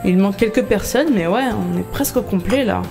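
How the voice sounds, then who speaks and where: A woman's voice: mid-range voice, a little fast, vocal fry, lightly acted. A woman acting a sarcastic, defensive office worker in an amateur audio comedy, speaking casually. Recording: music behind the voice.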